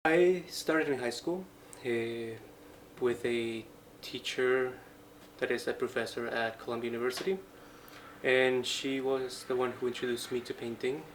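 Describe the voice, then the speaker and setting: Young man, even-toned. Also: mid-range voice. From Colombia. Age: 20s. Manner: halting, speaks in short phrases. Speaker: Franciscan friar and painting student. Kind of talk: interview monologue, personal reflection. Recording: noticeable background noise, ambience.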